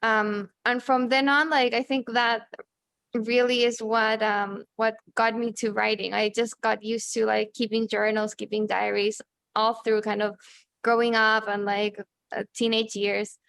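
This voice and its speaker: Chatty woman from Chile, crisp dry voice. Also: on the high side, level tone, a bit bright, no room sound. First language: Spanish. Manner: halting, many fillers and restarts. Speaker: guest, journalist and author. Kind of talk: casual interview talk.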